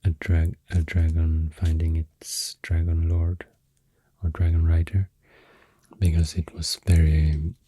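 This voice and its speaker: A man with a Swedish accent, rambling softly and hesitantly in soothing ASMR, breathy and often near a whisper, close to the mic. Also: a bass voice, monotone, takes it slowly, dry recording. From Sweden. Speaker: ASMR creator. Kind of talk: soft-spoken ASMR rambling. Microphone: close microphone in a dry space.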